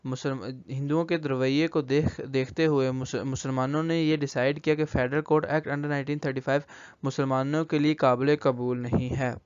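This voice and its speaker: A young Pakistani man, a university student, giving a semi-scripted presentation in a halting way, often stumbling and repeating words. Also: medium pitch, little pitch movement, clean tone, dry recording, highs cut off. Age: young adult.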